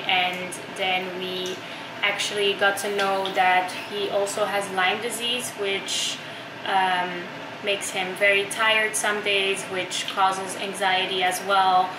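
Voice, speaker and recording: A woman's voice: mid-range voice, background noise. A woman in her thirties chatting casually to camera as a vlogger, with plenty of ums and uhs. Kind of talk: casual vlog talk to camera. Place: home kitchen. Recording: echoey room.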